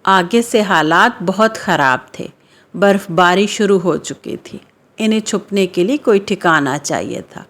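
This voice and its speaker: A woman's voice: lowish voice, lively intonation, measured pace, fairly bright tone. A woman narrating a scripted children's story.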